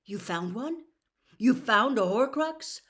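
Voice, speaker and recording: A woman voicing a defiant teenage boy wizard in a storybook, blunt, loud and urgent. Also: a little high, animated, takes it slowly, voice pushed up. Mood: urgent, eager.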